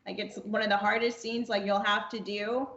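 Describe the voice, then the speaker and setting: A woman's voice: mid-range voice, little pitch movement, normal speed, echoey room, lo-fi sound, background noise. A woman in her twenties telling a casual anecdote as a podcast guest, acting out quoted dialogue and repeating phrases as she goes. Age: 20s. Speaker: podcast guest, amateur voice actress.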